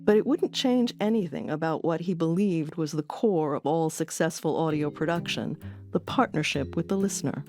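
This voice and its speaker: A woman's voice: low-pitched, moderate pace, dark tone, soft background music. A woman reading scripted narration as the host of a documentary podcast.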